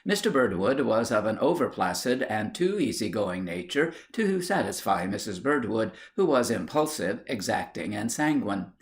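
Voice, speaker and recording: Man, audiobook narrator, comic period story, measured literary delivery, lively intonation. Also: on the low side.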